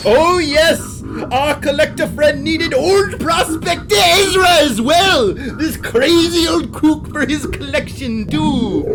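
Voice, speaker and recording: A man playing a slick, taunting villainous salesman in an audio drama, with theatrical, drawn-out delivery. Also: very high-pitched, full character voice, low-quality recording. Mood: gleeful, manic. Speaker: actor. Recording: loud music over the voice. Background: loud music.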